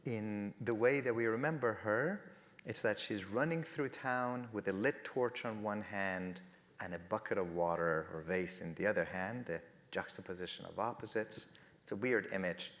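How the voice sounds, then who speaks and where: A man's voice: lowish voice, moderate pace, fairly bright tone, long reverb tail. A middle-aged man whose first language is Persian, speaking earnestly at a faith festival and telling stories with rising emphasis. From Iran. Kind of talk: reflective spoken talk.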